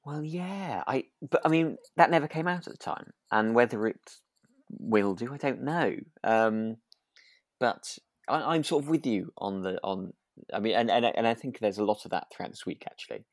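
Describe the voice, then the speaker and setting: A man's voice: mid-range voice, normal speed, dry recording. A man speaks as a podcast co-host in a very dry recording. He discusses a drama casually, hesitant and agreeable, circling back over his words as he thinks aloud. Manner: hesitant, repeats and trails off, agreeable.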